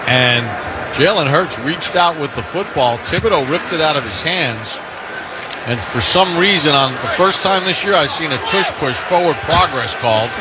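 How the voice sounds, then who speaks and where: A man's voice: a little high, animated, normal speed. A man calling live football on the radio as play-by-play announcer, in clipped running description of the action. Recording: loud stadium crowd noise behind. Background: loud crowd and stadium noise.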